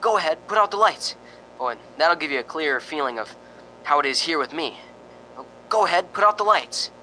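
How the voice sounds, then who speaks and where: Jumpy, bright-voiced actor as a frightened radio host. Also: male voice, a little high, lively intonation, a little fast, slight background noise, rough recording. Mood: uneasy. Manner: stammering, hesitant, exclaiming. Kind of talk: old-time radio drama acting.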